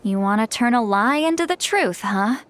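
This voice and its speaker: A woman's voice: a little high, lively intonation, moderate pace, noisy background, natural voice. A woman voicing a wry self-styled granny. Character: wry woman who calls herself granny. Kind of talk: game cutscene voice acting.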